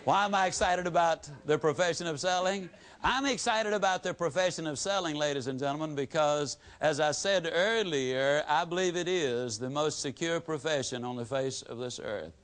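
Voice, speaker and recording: Man, high voice, motivational speaker, folksy storytelling, rapid-fire repetition. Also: fairly bright tone. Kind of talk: motivational speech to a live audience.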